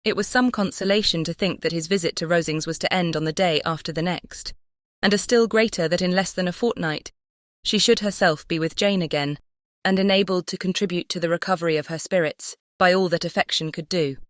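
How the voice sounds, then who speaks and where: A woman's voice: fairly low pitch, fairly quick, no room sound, clean studio sound. A woman narrating a classic novel as an audiobook, composed and carefully phrased. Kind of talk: scripted literary narration.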